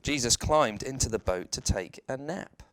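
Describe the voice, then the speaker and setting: A man, a reverend, telling a children's bedtime story in a measured, dramatic way with deliberate pauses. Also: medium pitch, lively intonation, a bit bright, slight background noise.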